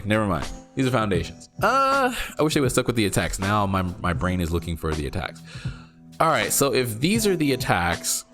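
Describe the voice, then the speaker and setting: Card game content creator, non-native English speaker, low-ish voice, reads aloud, rereads, reacts with bursts of excitement, music bed behind. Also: male voice. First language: not English. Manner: thinking aloud, rereads lines, sudden excited outbursts. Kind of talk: casual commentary reacting to and reading an article.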